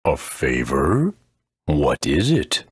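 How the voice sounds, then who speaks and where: An ancient, solemn elder of a creature tribe for a video game. The voice is extremely deep and very husky, with slow, formal, weighty phrasing in a dry recording. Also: male voice, wildly swooping pitch, no echo, exaggerated voice. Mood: gruff, measured. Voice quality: husky, rumbling.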